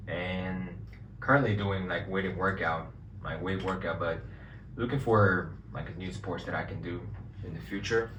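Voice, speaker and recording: Man, very low voice, relaxed and hesitant, roomy echo, distant mic. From Korea. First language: Korean. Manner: relaxed, hesitant, searching for words. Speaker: vlog co-host. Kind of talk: casual chat. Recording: echoey room, distant mic, faint hiss.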